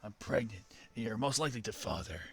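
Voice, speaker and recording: A man voicing an edgy, brooding male hedgehog in a low, muttering deadpan. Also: fast talker, vocal fry, husky, full character voice.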